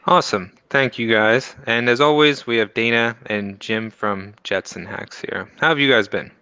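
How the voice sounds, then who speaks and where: A man hosting a casual live stream with interns, relaxed and chatty. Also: mid-range voice, normal speed, clear, resonant tone, dry recording. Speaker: host.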